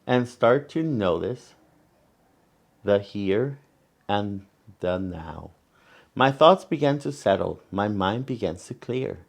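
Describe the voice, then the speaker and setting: A man reading a picture book aloud to children as a storyteller, gently and deliberately, pausing between phrases and adding small asides. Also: lowish voice, expressive, creaky.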